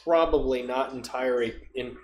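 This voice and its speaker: A man's voice: medium pitch, moderate pace. A man in a casual podcast chat about tabletop role-playing, explaining things through made-up examples. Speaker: podcast speaker. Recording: echoey room with faint background noise.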